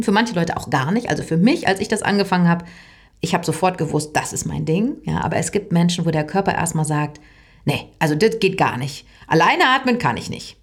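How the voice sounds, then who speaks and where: A middle-aged woman voice teacher explaining her work in a calm, thoughtful way, sometimes dropping into a soft whisper. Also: fairly low pitch, breathy edge. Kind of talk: reflective explanatory monologue.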